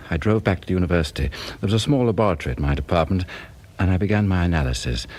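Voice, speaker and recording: A man's voice: low-pitched, a little mellow, breathy edge, exaggerated voice. A British man playing an anxious, suspicious professor who narrates a radio drama in a formal, brooding way. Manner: formal, brooding, swinging in emphasis. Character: anxious, suspicious professor narrating his own unravelling marriage. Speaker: narrator and lead actor.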